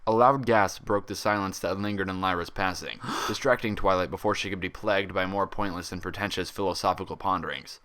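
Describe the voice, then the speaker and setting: A man's voice: low voice, fairly even intonation, fairly quick, few pauses, fairly bright tone. A man narrating fan fiction as a scripted, read-aloud story.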